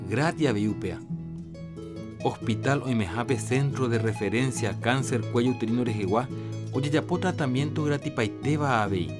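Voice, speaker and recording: A man presenting a scripted public health segment in a radio program. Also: mid-range voice. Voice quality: steady, informative reading. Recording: music bed under the voice.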